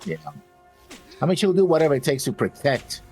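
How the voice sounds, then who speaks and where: A man's voice: fairly high pitch, brisk, darkish tone, faint music behind. A man chatting casually as the co-host of a reaction video.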